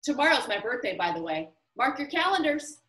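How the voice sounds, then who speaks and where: A woman's voice: a little high, sing-song, brisk, sharp tone. Woman reading as a showy, eccentric game-maker. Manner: theatrical, playful announcing. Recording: roomy, somewhat distant mic.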